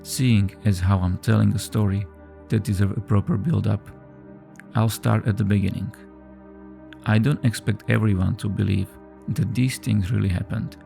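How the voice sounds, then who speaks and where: A man reading a scripted horror story as a narrator, in a measured, subdued storytelling manner. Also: on the low side, creaky, warm tone. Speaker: creepypasta narrator. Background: background music.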